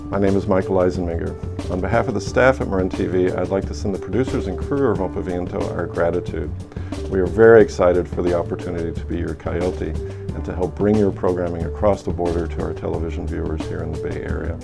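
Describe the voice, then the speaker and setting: American male narrator, low and creaky, delivering a scripted message over prominent guitar music. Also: fairly even intonation, normal speed. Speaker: community TV station representative.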